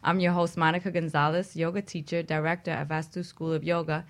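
Low-voiced woman hosting a wellness radio show. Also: a little fast. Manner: relaxed, giggly, teasing banter. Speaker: radio show host and yoga teacher. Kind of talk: casual radio chat.

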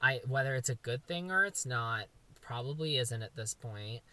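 A young man with a very high voice, co-hosting a casual chat and joking in a campy, playfully dramatic way. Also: animated, normal speed, bright, forceful tone. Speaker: podcast co-host.

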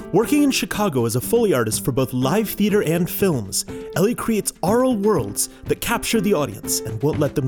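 A student presenter reading scripted narration for an audio feature. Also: mid-range voice.

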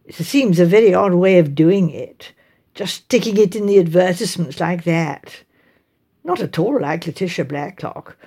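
A woman's voice: mid-range voice, mellow. A chatty middle-aged English village mother, as a woman narrator plays her, swoops up and down as she talks. She is decided and exclaiming, every phrase firmly stressed, in an audiobook mystery.

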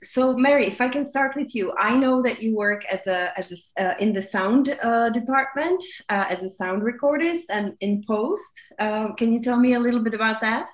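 A woman's voice: a little high, animated, normal speed, hardly any pauses. Hesitant woman hosting a webinar, echoey. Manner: hesitant, lots of fillers and restarts, laughs easily. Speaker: webinar moderator. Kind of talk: hosting an online panel.

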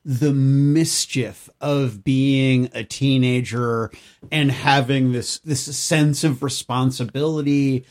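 A man's voice: medium pitch, fairly even intonation, slow pace, darkish tone. A man bantering casually on a podcast in a teasing, effusive manner, repeating phrases for emphasis. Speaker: podcast regular.

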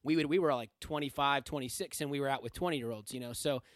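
A man's voice: medium pitch, quick pace, no echo, studio quality. A man in his thirties, a podcast host and band singer, talking casually and earnestly, often restarting his phrases. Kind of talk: casual conversation.

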